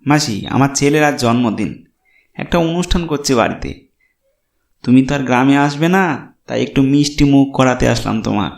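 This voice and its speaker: A man's voice: mid-range voice, expressive, moderate pace, gravelly, pitched above the performer's own voice. A man voicing a kind-hearted, remorseful poor villager who pleads earnestly in a cartoon story. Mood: friendly, respectful. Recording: roomy echo, music behind.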